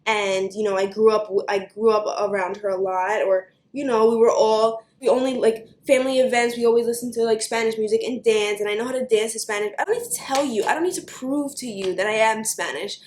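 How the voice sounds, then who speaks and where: A woman's voice: fairly high pitch, echoey room, slight background noise. Chatty young New York woman. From United States. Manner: animated, fast, chatty with many likes. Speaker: beauty vlogger. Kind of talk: ranting to camera.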